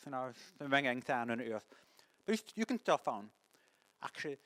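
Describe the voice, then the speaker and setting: A deaf man with a mixed French and English accent gives a conference talk, speaking haltingly with many restarts. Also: fairly high pitch, a bit bright, low-quality recording. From born in the United States, lives in France. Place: conference hall.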